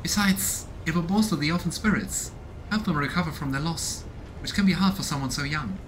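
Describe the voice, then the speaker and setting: A man's voice: on the high side, brisk, background noise, pitched above the performer's own voice, lightly acted. A man voicing a zealous, devout female warrior nun from a video game, speaking in a formal, earnest way. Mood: earnest, solemn.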